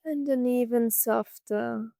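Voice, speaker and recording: A woman narrating a scripted guided meditation, gently soothing, speaking in short phrases with long pauses. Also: on the high side, fairly even intonation, vocal fry, dry recording, studio quality.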